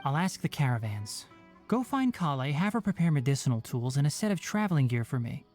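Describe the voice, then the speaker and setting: A voice actor playing a calm, brisk young male forest ranger and healer who is caring but firm. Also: medium pitch, faint music behind, natural voice. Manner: brisk, level-headed and caring. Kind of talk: video game voice acting.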